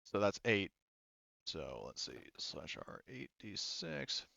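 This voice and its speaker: A man running a tabletop game as game master, narrating and thinking aloud in a halting way. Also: fairly low pitch, sing-song, creaky, bright, forceful tone, dry recording, lo-fi sound.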